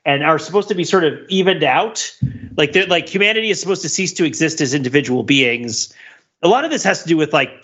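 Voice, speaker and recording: A man's voice: mid-range voice, a bit bright. An American man co-hosting a podcast, explaining things in casual chat like an enthusiastic geek. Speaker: podcast co-host. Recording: dull, band-limited sound.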